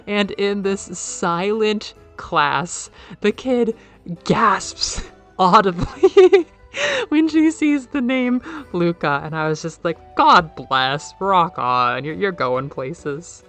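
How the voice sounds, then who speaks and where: A young woman YouTuber talking casually in a soft, airy, slightly nasal voice, excitable and rambling, her pitch leaping up when she quotes people. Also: takes it slowly, faint music behind. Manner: enthusiastic, excitable, jumps up in pitch when quoting; rambling with fillers and repeated words. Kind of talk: casual video essay.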